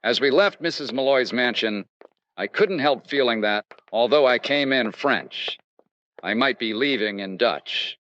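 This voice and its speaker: An actor playing a confident insurance investigator and wry narrator in old-time radio detective style, polite but firm. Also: male voice, mid-range voice, expressive, measured pace, highs cut off, background noise, close to an everyday voice. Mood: wry narration.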